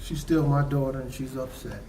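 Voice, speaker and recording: A man's voice: medium pitch, fairly even intonation, distant mic, lightly acted. Guy playing middle aged dad in a stage play, evasive n protective, stumbles over formal words, lots of noise. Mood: placating, evasive. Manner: hesitant, halting, formal wording. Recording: noisy live stage recording.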